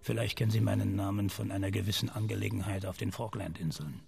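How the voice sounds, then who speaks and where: A man's voice: on the low side, fairly even intonation, quick pace, creaky, darkish tone. A man playing a pompous senior intelligence officer in a German crime radio play, condescending and curt. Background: faint music.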